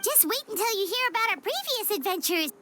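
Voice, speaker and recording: A woman voicing a tiny, childlike, excitable fairy companion in a video game, with a squeaky voice and exclaiming delivery. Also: fairly quick, noisy background. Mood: cheerful. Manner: exclaiming, speaks of herself in third person. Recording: music behind the voice. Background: game music.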